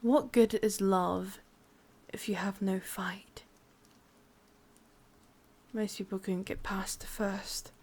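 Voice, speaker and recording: A woman's voice: medium pitch, steady tone, slow, long pauses, darkish tone. A woman with an English accent playing a sadistic, possessive yandere witch in an ASMR roleplay, mostly whispering in a coaxing yet commanding way. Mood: possessive, insistent.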